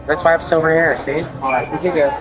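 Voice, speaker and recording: A woman's voice: low-pitched, fairly even intonation, brisk, music in the background, low-quality recording. A woman caught on a body camera, arguing casually with police. Her manner is sassy and evasive, answering challenges with back-talk. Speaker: customer stopped by police. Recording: body camera with vehicle noise.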